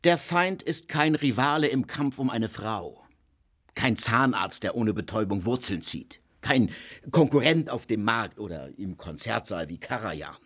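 Guy doing a cold jurist lecturing the gentlemen, big swoopy emphatic delivery, radio play. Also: fairly low pitch, animated, a little slow. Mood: insistent. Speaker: legal theorist lecturing gentlemen.

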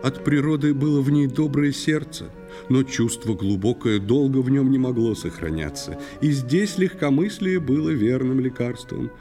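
A man narrating a children's audio play in verse, with expressive, unhurried storytelling. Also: medium pitch, soft background music.

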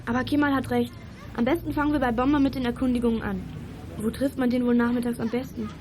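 Quick, high-voiced teenage girl sleuth played by a woman. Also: fairly even intonation, background noise, close to an everyday voice. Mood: direct. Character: sensible, direct teenage girl detective nicknamed Delfin. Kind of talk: 1980s German youth radio play acting. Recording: music under studio radio play dialogue. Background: music.

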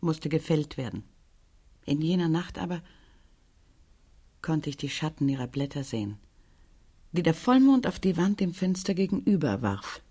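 Sad, breathy, guarded widow. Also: female voice, sits low, big pitch swings, moderate pace, pauses often, warm tone, full character voice. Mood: haunted recollection. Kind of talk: German radio crime drama acting.

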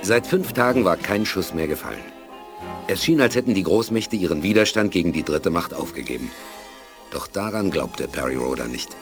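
An actor narrating a German science fiction audio drama as a sober storyteller. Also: male voice, low-pitched, animated, normal speed, over music. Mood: measured, suspenseful.

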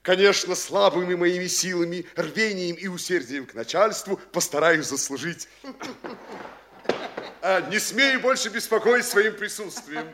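An actor playing a frightened provincial judge who mutters fearful asides and answers servilely. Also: male voice, high-pitched, lively intonation, a little slow, a bit bright. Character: frightened provincial judge, man, middle-aged. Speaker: actor in a radio play. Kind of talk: stage radio play acting.

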